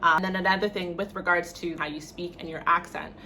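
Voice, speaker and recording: A woman's voice: lowish voice, fairly even intonation, fast, pushed and bright, slight background noise. A young woman with a Canadian accent talking casually to camera in a conversational, opinionated way, often saying "like" and "you know". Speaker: vlogger.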